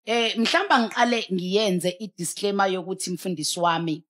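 A woman's voice: medium pitch, normal speed, fairly bright tone. A South African woman speaking as a pastor on a studio talk show, emphatic and exhorting, mixing in English. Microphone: headset.

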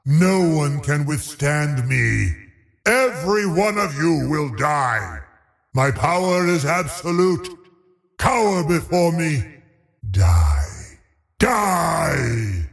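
Creaky, cruel undead sorcerer. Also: male voice, on the high side, very animated, full character voice. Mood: triumphant fury. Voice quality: gravelly, menacing. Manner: theatrical, imperious, drawn-out delivery. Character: undead male sorcerer, haughty, cruel, relishing death. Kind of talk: fantasy video game voice lines. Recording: long cavernous reverb.

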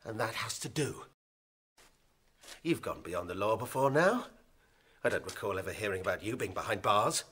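An actor playing a bitter, defiant young constable turned killer, husky and sneering, in a radio drama. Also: male voice, lowish voice, animated, normal speed, long pauses, fairly bright tone. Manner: sneering, taunting. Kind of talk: British radio drama acting.

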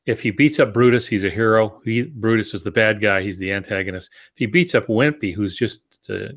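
Older guy in his 60s, gravelly, explaining writing stuff on a podcast, jokes dryly. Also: lowish voice, a little fast, a bit bright. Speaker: podcast co-host, novelist. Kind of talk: casual teaching talk with dry jokes. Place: home office, indoors. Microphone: earbud headset on a video call.

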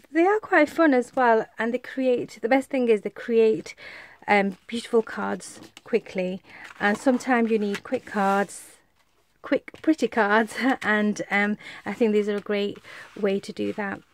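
Chatty woman with a stuffy cold. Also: on the high side. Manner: chatty, rambling, many fillers. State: congested, coming down with a cold. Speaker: craft vlogger. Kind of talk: casual show-and-tell.

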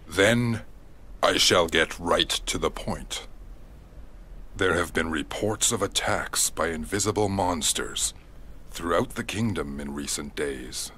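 A man's voice: low-pitched, sharp tone, a little hiss or hum, heavily acted. A man voicing a grave, middle-aged fatherly king in a fantasy game, formal and regal. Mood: stately. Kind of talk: video game voice acting.

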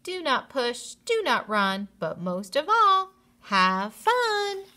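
A firm but cheerful storybook teacher read by a woman, bright and lively in pitch. She instructs small children with emphatic delivery. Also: slow pace, full character voice. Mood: upbeat instructing. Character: firm, cheerful female kindergarten teacher laying down rules.

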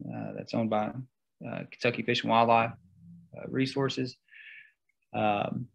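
A young man presenting slides in a seminar talk over a video call, halting and full of fillers. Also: mid-range voice, gravelly, fairly bright tone. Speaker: guest speaker.

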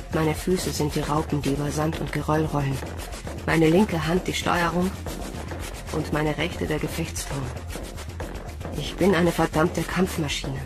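An actress playing a troubled, defiant young female recruit in a science fiction radio drama. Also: deep voice. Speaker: lead actor and narrator of an audio drama. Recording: studio radio play with loud music.

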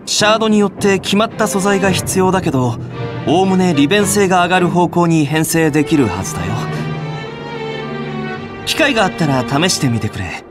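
Casting: caring young game alchemist, lightly high male voice, expressive pitch, friendly explainer. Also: a little fast, music in the background. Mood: friendly, explanatory. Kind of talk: Japanese video game voice acting.

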